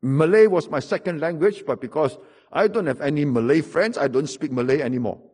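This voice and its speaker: A man's voice: on the high side, a little fast, darkish tone, breathy edge. A middle-aged man with a Singaporean English accent, preaching a sermon and telling stories with wry humor and emphatic repetition.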